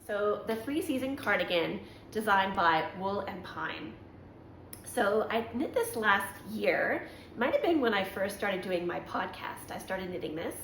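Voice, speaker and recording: A woman from Canada hosting a knitting podcast, chatting casually and rambling with lots of ums and self-corrections, her voice slightly raspy from allergies. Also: medium pitch, a bit bright, roomy sound, a little hiss or hum, low-quality recording. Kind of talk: casual show-and-tell chat.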